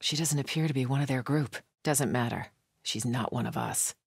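An actress playing a stern, devout cult soldier in a video game, solemn, guarded and terse. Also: female voice, a bass voice, normal speed, creaky, husky, no room sound, studio quality, exaggerated voice. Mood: solemn, watchful. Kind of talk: video game enemy dialogue.

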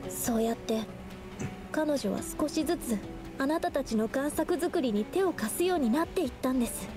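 A woman voicing an earnest, gentle young female painter in an anime game, speaking politely and solemnly. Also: high voice, animated, over music. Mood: pained, accusing. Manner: polite, solemn, measured speech.

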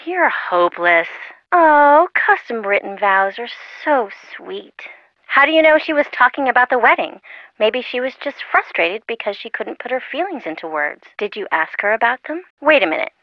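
A woman's voice: a little high, normal speed, no echo. Fussy, girly young woman on the phone. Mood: exasperated, sentimental. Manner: expressive, fussy, chiding. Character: girly, sentimental, exasperated young woman, bride-minded best friend. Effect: telephone filter. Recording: muffled, lo-fi phone-call sound.

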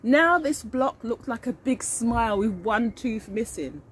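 An older woman reads a storybook to young children with very animated, fairly high intonation. She explains and asks questions like an early years teacher. She is recorded outdoors, with birdsong and some noise behind her. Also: unhurried. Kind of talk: picture-book storytelling with asides to children. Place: outdoors in a leafy garden.

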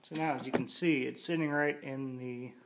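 A man's voice: medium pitch, fairly even intonation, heavy background noise. Man casually explaining a garage build. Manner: plain, halting, restarts mid-sentence. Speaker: DIY hobbyist showing a build. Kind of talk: casual how-to walkthrough. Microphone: handheld phone camera.

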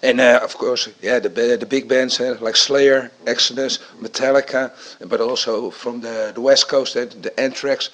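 Enthusiastic halting band member. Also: male voice, mid-range voice, fairly even intonation, fairly bright tone. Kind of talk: casual interview answers.

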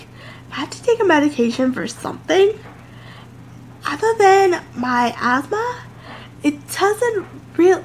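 A woman's voice: high-pitched, fairly bright tone, slight background noise, poor audio. A woman in her thirties talking casually to camera in a home office, rambling and chatty, sounding congested and a bit nasal. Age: 30s. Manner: rambling, chatty, many fillers. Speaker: vlogger. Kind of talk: casual sit-down chat to camera.

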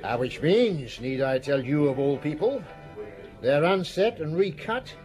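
An actor playing a coy, deferential restaurateur in a radio drama, hesitant and politely insinuating. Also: male voice, on the high side, lively intonation, unhurried, a little mellow, faint music behind. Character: coy, deferential male restaurateur feigning innocence.

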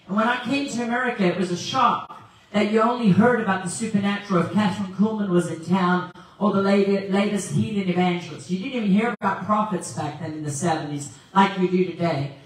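A woman in her sixties from South Africa, a guest evangelist preaching with a handheld mic and telling stories with laughter. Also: mid-range voice. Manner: animated storytelling with laughs. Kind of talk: preaching sermon. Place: large church sanctuary, indoors. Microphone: handheld mic, filmed by far camera. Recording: very echoey hall from far off.

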